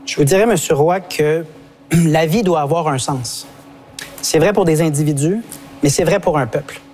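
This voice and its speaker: Quebec guy in a debate, kinda high voice, big rhetorical and passionate. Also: darkish tone, soft background music. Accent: Quebec French. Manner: impassioned, rhetorical, measured. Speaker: party leader in a debate. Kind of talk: debate argument.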